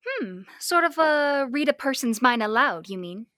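A woman's voice: high voice, big pitch swings, normal speed, a bit bright, no echo, clean studio sound. A young woman amateur playing a curious, admiring scientist's assistant in an old-time radio serial, inquisitive and wide-eyed. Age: young adult.

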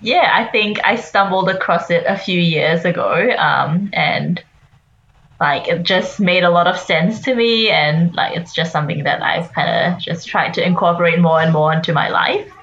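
Young aussie woman rambling on a podcast, lots of likes and ums, lowish voice, muffled lo-fi audio. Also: moderate pace, a bit bright. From Singapore and Australia. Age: young adult. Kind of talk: casual chat. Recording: dull lo-fi recording.

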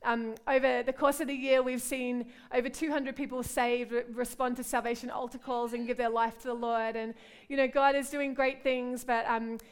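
A woman's voice: a little high, flat delivery, moderate pace, clear, resonant tone. A middle-aged woman from Australia with an Australian accent, preaching and praying at a women's gathering in an earnest, heartfelt way. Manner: warm, earnest, repeats "God" and "Lord" in prayer. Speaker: pastor. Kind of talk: women's conference sermon and prayer.

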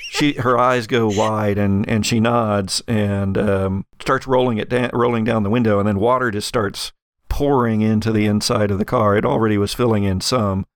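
A man working as game master, narrating a tabletop story in a halting, conversational, improvised way. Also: on the low side, steady tone, clean tone, no echo.

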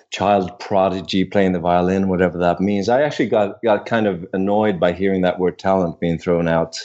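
A man's voice: low voice, dark tone, highs cut off. A man with a Swedish accent, a voice coach talking about his background as a podcast guest in a reflective, storytelling way. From Sweden. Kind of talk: casual interview talk.

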